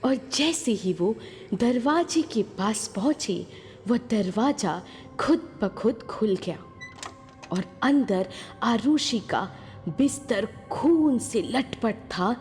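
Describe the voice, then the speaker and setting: Slow-paced woman narrating horror. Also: a little high, animated, darkish tone. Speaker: story narrator. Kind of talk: dramatic scripted horror story narration. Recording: long reverb, music behind.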